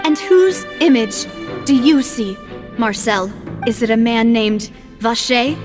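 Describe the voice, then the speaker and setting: An actress playing a passionate, determined young woman seeking justice in a game, earnest and deliberate. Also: a little high, over music. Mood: impassioned. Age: young adult. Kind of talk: dramatic game cutscene acting.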